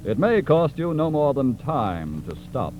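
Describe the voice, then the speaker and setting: An actor narrating a 1940s radio crime drama in a grave, measured, authoritative way. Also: male voice, medium pitch, very animated, muffled recording. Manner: solemn, measured. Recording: vintage radio broadcast, music underneath.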